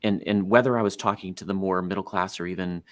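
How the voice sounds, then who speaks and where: A man in his forties speaks in a very deep voice, talking animatedly as a guest on a podcast. He explains at length, with some fillers and restarts. The recording is dry, with no room sound. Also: steady tone, quick pace, dry recording. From United States. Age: 40s. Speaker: guest author. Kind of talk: conversational podcast interview.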